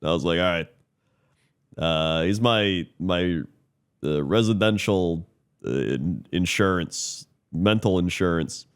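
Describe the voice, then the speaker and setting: A congested man with a somewhat low voice rambles through a story, full of "like" and "uh". His sound is a bit Muppet-like and stuffed up from a lingering cold, and he keeps it loose and chatty as a podcast co-host. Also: slow, smooth. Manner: rambling storytelling with many fillers. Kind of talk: casual chat.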